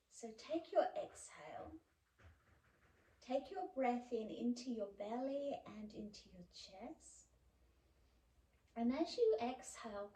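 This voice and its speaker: A woman leads a slow yoga relaxation in a soft-toned, mellow voice. She sounds a little way from the microphone in an echoey room. Her delivery is soothing and unhurried, with space between phrases. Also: on the high side. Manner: slow, soothing, with pauses and breathy sighs. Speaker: yoga teacher. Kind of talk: guided yoga relaxation instruction. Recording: echoey room, distant mic, faint background noise.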